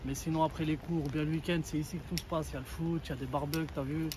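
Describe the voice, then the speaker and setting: A man's voice: on the high side, fairly even intonation, very fast, distant mic. A man in his twenties, a rapper, chatting in slangy street talk and peppering it with filler tags. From Sri Lankan heritage, raised in France. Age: 20s. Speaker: rapper interviewee. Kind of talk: casual street interview. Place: outdoors in a neighborhood. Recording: roomy, from off the mic, faint street noise.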